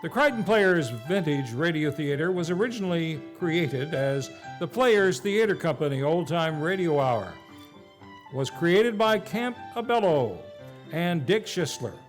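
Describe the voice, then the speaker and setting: A man announces the closing credits of a live radio theater show. His voice is high for a man, and he reads from a script. Faint music plays behind him. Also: lively intonation, unhurried.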